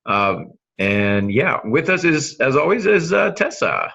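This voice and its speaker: A man's voice: mid-range voice, very animated, measured pace, gravelly, pushed and bright, breathy edge. A man hosting a casual podcast discussion, speaking in a hesitant, lightly teasing way. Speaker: podcast host, novelist. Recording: dull lo-fi sound.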